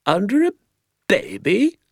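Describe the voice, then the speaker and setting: A man voicing a tiny, excitable village doctor in a storybook, gushing in drawn-out exclamations. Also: high-pitched, vocal fry, no echo, studio quality, voice pushed up, exaggerated voice. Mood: delighted. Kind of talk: storybook character voice.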